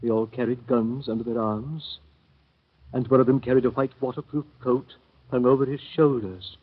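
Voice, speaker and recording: A man's voice: medium pitch, steady tone, moderate pace, husky, natural voice. A man playing a jittery young visitor, muffled. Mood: tense narration. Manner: stammering, flustered. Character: nervous young male visitor and narrator. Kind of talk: 1930s radio acting. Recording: muffled old radio recording.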